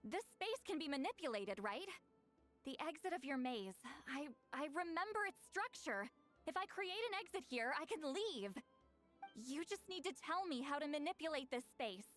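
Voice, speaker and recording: A woman voicing a feisty, determined young shaman in a video game, speaking in a brisk, insistent manner. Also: high-pitched, expressive, a bit bright, faint music behind. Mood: urgent.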